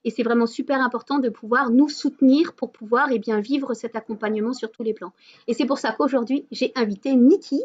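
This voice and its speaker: A woman with a high voice hosts an online wellness meeting. She talks casually and hesitantly, restarting phrases and filling pauses. The audio is muffled and lo-fi. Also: a little mellow. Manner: hesitant, many fillers and restarts. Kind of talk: casual spiritual wellness talk.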